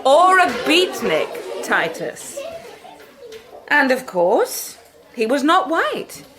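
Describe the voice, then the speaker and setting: A sardonic schoolteacher in a radio drama. Her voice is fairly high and expressive, with slow, deliberate phrasing. She has a commanding, deadpan irony. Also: full character voice. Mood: sardonic, instructive. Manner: brisk classroom authority, deadpan irony. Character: defiant, sardonic woman schoolteacher giving a scripture lesson.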